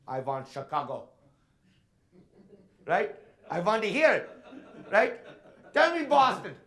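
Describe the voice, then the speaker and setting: An exuberant Indian-accented teacher, high in pitch for a male voice. He drills his class demandingly and comically in a very echoey room. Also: very animated, slow, a bit bright, heavily acted. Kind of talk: comedic mock lesson.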